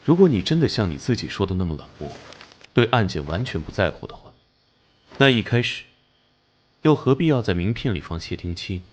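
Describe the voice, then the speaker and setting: An actor playing a stern, hot-tempered police squad captain in an animated drama, speaking bluntly and earnestly. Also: male voice, lowish voice, fairly quick. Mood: challenging. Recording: music or noise behind the voice.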